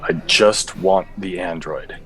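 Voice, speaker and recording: Man playing a deep-voiced, weary hardboiled cop. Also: slow pace. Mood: insistent. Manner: deadpan, noir-style narration. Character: weary, hardboiled male android-hunting cop, self-serious. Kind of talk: radio play acting.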